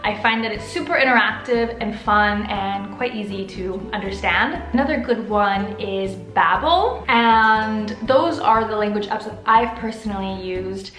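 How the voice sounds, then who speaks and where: A young American woman vlogging to camera from her living room, upbeat, expressive and chatty. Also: mid-range voice, a little slow, few pauses, echoey room, soft background music. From United States. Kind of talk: casual to-camera vlog. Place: living room with sofa, indoors.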